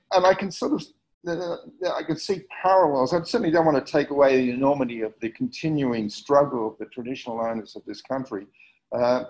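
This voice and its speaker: A man's voice: mid-range voice, darkish tone. A middle-aged man from Australia giving a community history talk over slides, hesitant, with many fillers and repeated words. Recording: dull lo-fi recording.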